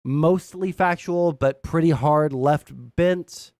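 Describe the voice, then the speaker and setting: American man, smooth, dry close sound. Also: a little high, takes it slowly, darkish tone, dry recording. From southern United States. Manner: rambling, blunt, heavy swearing. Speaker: livestream host. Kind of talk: casual political commentary to stream chat.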